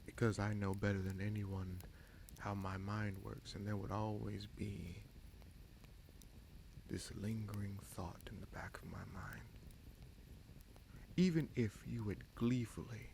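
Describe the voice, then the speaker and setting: Man acting a low, gravelly, scheming fantasy prince. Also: darkish tone, slight background noise, low-quality recording. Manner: menacing, sardonic, deliberate with dramatic pauses and sighs. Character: bitter, scheming bastard prince commanding a captive dragon. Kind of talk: dramatic fantasy audio roleplay addressed to the listener.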